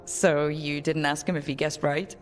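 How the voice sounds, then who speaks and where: A woman's voice: low voice, level tone, normal speed, over music, natural voice. A woman playing a curious, wry adventurer and leader in a fantasy video game, with a dry conversational manner. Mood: probing. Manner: dry, inquisitive.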